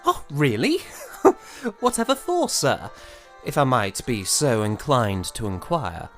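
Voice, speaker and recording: Theatrical young male composer played by an actor, aggrieved and florid, slow, highly animated delivery over music, for period audio drama. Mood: flustered, defiant. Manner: theatrical, florid, hesitant sputtering. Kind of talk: period audio drama acting, letter narration.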